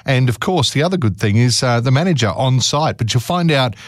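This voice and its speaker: Australian man as a radio host, brisk and encouraging, close dry studio mic. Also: medium pitch, expressive, dry recording. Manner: brisk, encouraging, reads ads with fillers. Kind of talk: radio interview and live-read ad.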